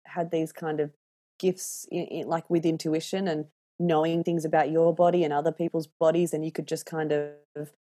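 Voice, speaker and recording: An Australian woman in her thirties chatting casually and candidly, telling animated stories and swearing freely. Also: low-pitched, normal speed, few pauses. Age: 30s. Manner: animated, candid storyteller who swears freely and acts out voices. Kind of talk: casual podcast chat.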